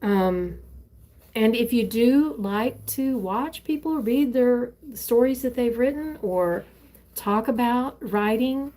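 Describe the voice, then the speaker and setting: A woman's voice: a little high, lively intonation, slow pace, dark tone. An older woman, reading her own stories and chatting casually on a live stream, rambling with frequent fillers and restarts, recorded on a tablet. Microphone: tablet used for live streaming.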